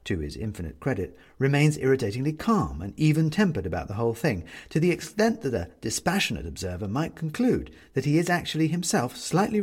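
A man reads a story aloud with a wry, measured turn of phrase. His voice sits at an ordinary male pitch with a rough, husky edge, and it rises and falls expressively as the narration moves along. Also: a little mellow. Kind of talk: scripted literary storytelling narration.

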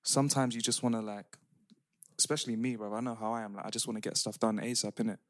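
Young man, lively storyteller, dry close-miked sound. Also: fairly low pitch, fast talker, no echo. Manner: animated storytelling, laughing slang-heavy. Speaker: podcast co-host. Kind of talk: casual chat among friends.

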